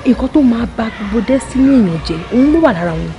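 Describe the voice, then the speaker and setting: A woman's voice: a little high, expressive, rapid-fire, mellow, dull audio, faint music behind. A middle-aged actress playing a mother who scolds and counsels her son-in-law. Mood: scolding. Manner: firm, scolding, switching Yoruba and English. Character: firm motherly Nigerian matron, stern counsel. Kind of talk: Nollywood drama acting.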